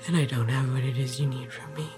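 A woman acting a frightened captive in a screen drama, pleading and halting. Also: rumbling low, fairly even intonation, over music. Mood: tearful pleading.

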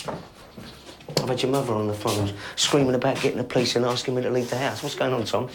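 A man's voice: mid-range voice, fairly even intonation, a little fast, noisy background, natural voice. An actor playing a volatile, menacing middle-aged father in a naturalistic film, aggrieved, repeating himself and swearing. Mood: defensive. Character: volatile, menacing father of a troubled teenage son.

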